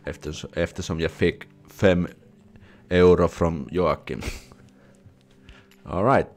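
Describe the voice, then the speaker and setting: Chatty Finnish man streaming live, low voice. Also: takes it slowly. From Finland. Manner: chatty, restarts words, switches into Swedish phrases. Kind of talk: casual live chat reading viewer comments. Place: small indoor room at home. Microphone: headset with desk mic in front.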